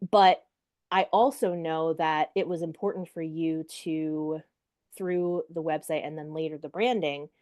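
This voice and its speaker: A woman with a notably low voice hosts a relaxed podcast interview. She offers frequent supportive murmurs and loose, filler-filled questions as she chats with her guest. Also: no echo, studio quality. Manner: warm and affirming, with many "mm-hmm" and fillers. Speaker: podcast host. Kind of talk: casual interview chat.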